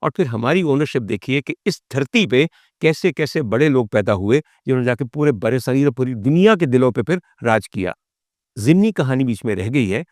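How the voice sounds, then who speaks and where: A man's voice: medium pitch, lively intonation, moderate pace, darkish tone, no room sound, studio quality. A man with a Pakistani accent hosting a vlog as a storytelling monologue, with theatrical, formal delivery and English phrases mixed in. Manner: theatrical, rhetorical questions, formal addresses to the audience; mixes English phrases into speech. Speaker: vlog host. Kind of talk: storytelling monologue on film history.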